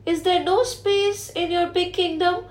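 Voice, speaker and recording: A woman's voice: very high voice, fairly even intonation, unhurried, pitched above the performer's own voice. A woman doing a tiny pleading fish. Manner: small pleading voice. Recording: roomy echo.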